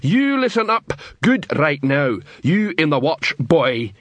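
A man's voice: a little high, very animated, slow pace, fairly bright tone, dry recording, voice pushed up, full character voice. A man voicing a proud, dim troll constable in an audiobook, barking drill orders in clumsy grammar. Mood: pompous, commanding. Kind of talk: audiobook character voice.

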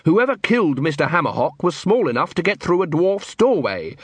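A man voicing a calm, earnest officer of the watch in an audiobook, brisk, polite and assured. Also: on the high side, breathy edge, dry recording, voice pushed up. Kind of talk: audiobook character voice.

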